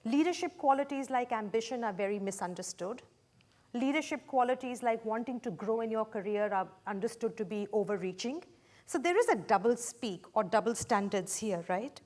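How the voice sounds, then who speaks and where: A woman moderating a panel discussion, measured and questioning, citing statistics to her guests. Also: on the high side, lively intonation, darkish tone.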